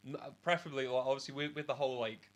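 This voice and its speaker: A man's voice: mid-range voice, steady tone, very fast, a bit bright. A man from England co-hosting a podcast, talking casually with a slightly rattly voice after being ill, rambling with many restarts. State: just recovered from illness, rattly voice. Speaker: podcast co-host. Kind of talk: casual chat.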